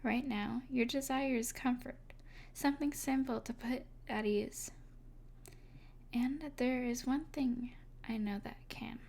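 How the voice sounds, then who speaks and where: A woman playing an evil sorceress, possessive and tenderly devoted, speaking softly and deliberately in an intimate ASMR roleplay. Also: a little high. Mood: reassuring. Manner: soft, deliberate, soothing yet possessive.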